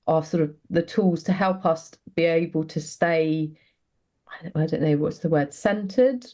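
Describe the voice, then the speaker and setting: Low mellow woman host, thinks out loud, lots of ums. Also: moderate pace. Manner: reflective, hesitant, many fillers. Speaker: podcast host. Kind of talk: casual conversational interview.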